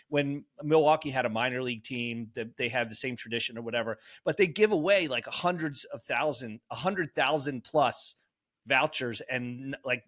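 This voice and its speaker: A man's voice: mid-range voice, a bit bright. A man co-hosting a podcast, telling stories in a rambling casual way with many fillers and self-corrections. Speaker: podcast co-host. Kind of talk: casual chat.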